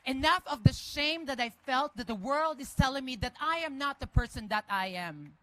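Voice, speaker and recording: A woman from the Philippines with a Filipino accent, giving a lively, personal speech at an outdoor march. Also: high-pitched, moderate pace. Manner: enthusiastic, personal. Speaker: guest speaker at a march. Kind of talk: rally speech. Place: outdoor rally.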